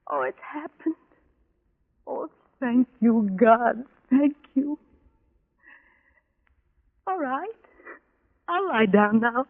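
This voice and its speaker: A woman's voice: a little high, exaggerated voice. Obsessive jilted woman, tinny vintage radio. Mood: trance-like, intense. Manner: impassioned, breathy pleading swelling into anguish. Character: lovesick, obsessive jilted young woman, overwrought and bitter. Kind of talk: old-time radio drama acting.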